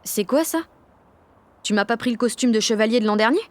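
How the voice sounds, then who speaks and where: A woman's voice: on the high side, fairly quick, close to an everyday voice. A woman playing a brash, cocky French teenage girl. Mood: sarcastic. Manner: slangy, sweary, punchy inner monologue. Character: brash, cocky sixteen-year-old girl narrating her day. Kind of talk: first-person audio fiction monologue.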